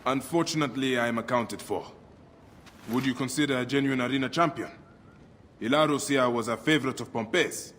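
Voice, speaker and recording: An actor playing a brooding, vengeful Egyptian protector and grieving father in a video game, speaking in a solemn, measured way. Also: medium pitch, a bit bright, a little hiss or hum, natural voice. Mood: guarded. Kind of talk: video game dramatic acting. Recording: music under the voice.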